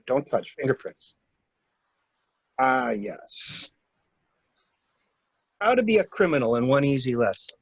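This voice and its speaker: A man in an amateur mystery drama reading, playing a cool-headed gentleman stranger who briskly and wryly takes charge of a cover-up. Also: medium pitch, breathy edge, dry recording, exaggerated voice. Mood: jaunty, absorbed.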